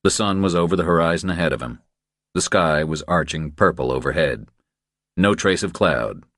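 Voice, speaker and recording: A man with a low, deep voice narrates a thriller audiobook. The delivery is scripted storytelling, and the recording is dry, with no room sound around the voice. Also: animated, moderate pace, fairly bright tone, no echo.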